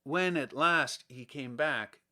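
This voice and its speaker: Male audiobook reader for a classic children's tale: slightly high register, bright, forward tone. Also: slow. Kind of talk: scripted storybook narration.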